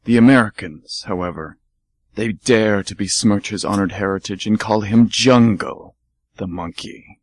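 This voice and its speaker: A man co-hosting an amateur radio theatre show, reading mock-epic narration in a solemn, deliberate way. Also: on the low side, no echo. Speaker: radio theatre co-host and performer.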